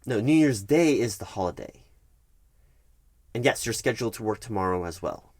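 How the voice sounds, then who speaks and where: A man acts out a patronizing HR manager in an ASMR roleplay. His voice is low and recorded dry and close, with little room sound. He explains things in a bureaucratic, insistent way, often restarting and repeating himself. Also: expressive, moderate pace, a little mellow, no echo. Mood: incredulous, firm. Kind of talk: one-sided ASMR roleplay, conversational.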